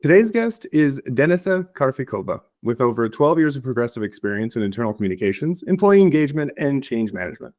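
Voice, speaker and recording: A man's voice: medium pitch, big pitch swings, quick pace, hardly any pauses, darkish tone. A man hosting a podcast, asking questions in an upbeat, loose way with fillers. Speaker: podcast host. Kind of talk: casual interview hosting.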